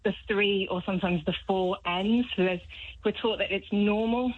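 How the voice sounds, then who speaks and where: A British woman calling a radio show, explaining her view in a measured, conversational way. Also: medium pitch, fairly even intonation, no echo. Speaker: phone-in caller, vegan activist. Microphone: phone. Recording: thin, narrow telephone line.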